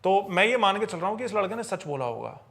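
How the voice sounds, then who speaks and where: A man with a notably high-pitched voice tells eerie supernatural stories as a podcast storyteller. He speaks conversationally, circling back to repeat and reword phrases for emphasis. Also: very animated, fast talker, fairly bright tone. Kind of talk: conversational storytelling of paranormal tales.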